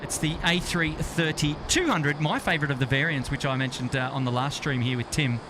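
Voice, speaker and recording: Aussie bloke doing live plane spotting chat, highish voice, chummy, traffic and outside noise behind him. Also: male voice, a little fast. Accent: Australian. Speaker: plane spotting livestream host. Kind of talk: casual live commentary and banter with chat. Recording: loud outdoor vehicle and ambient noise.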